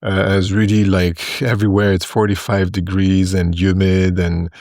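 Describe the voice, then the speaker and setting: A man in his forties from Ethiopia, a consultant guest explaining his work in a podcast interview, in short measured phrases with fillers. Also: low-pitched, level tone, darkish tone, dry recording, studio quality.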